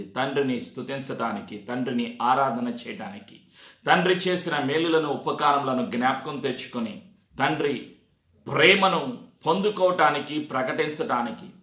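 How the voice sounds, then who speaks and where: A man's voice: mid-range voice, fairly bright tone. A man preaching a sermon, exhorting his congregation with repeated phrases and rhetorical questions. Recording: echoey, reverberant sound.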